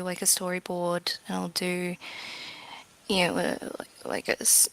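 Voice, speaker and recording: A woman's voice: medium pitch, vocal fry, a bit bright, low-quality recording. A woman being interviewed as a game developer, chatting casually in a hesitant, thoughtful, self-effacing way.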